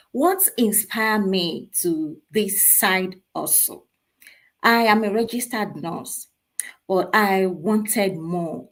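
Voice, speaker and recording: A woman, a guest on an online show, speaking in a measured, deliberate way. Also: lowish voice. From Nigeria.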